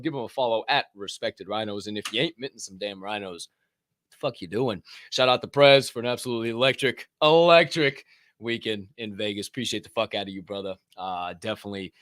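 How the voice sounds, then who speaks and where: Male talk-show host, hoarse, high and bright, profane hype-man energy. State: hoarse after a long weekend. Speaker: podcast host. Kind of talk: hyped casual show hosting.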